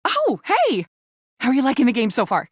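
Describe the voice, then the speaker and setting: A man's voice: very high voice, wildly swooping pitch, a little fast, breathy edge, dry recording, clean studio sound, full character voice. A man voicing a hyperactive goofball game mascot, campy and theatrical, full of playful silliness. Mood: flustered, chatty.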